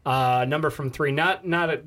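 A man's voice: fairly high pitch, moderate pace, a bit bright. Slangy, loose-talking podcast co-host man. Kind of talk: casual sports podcast chat.

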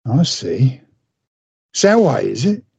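A man playing a brutal, sneering, menacing villain in a radio play, with a gravelly voice. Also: medium pitch, big pitch swings, slow pace, warm tone, lo-fi sound, exaggerated voice.

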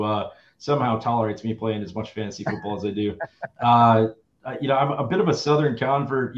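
A man in his early forties from Wisconsin, chatting casually as an interview guest, upbeat with lots of filler words. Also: mid-range voice. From Wisconsin, USA. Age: early 40s. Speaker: guest, fantasy football player. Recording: roomy, dull lo-fi sound.

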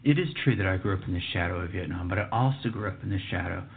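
A man's voice: deep voice, rapid-fire. A man in his fifties from Texas hosting a solo podcast, telling a story in a reflective, emphatic way and dropping to a whisper for asides. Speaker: podcast host. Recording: tinny phone-like sound.

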